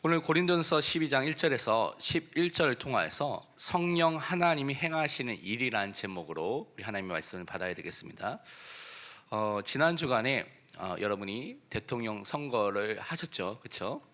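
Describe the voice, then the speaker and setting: Man, pastor, sermon delivery, mid-pitched, hoarse from a cold, sharp projection, emphatic exhortation. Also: big pitch swings, moderate pace. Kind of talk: church sermon. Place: church service.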